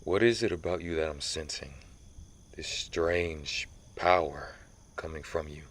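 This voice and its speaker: Man playing a very deep-voiced, stern ancient vampire hunter. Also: fairly bright tone, heavily acted. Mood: hushed, curious. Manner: slow, menacing, interrogating. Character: centuries-old male vampire hunter who is a vampire, stern, commanding. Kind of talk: scripted ASMR audio roleplay.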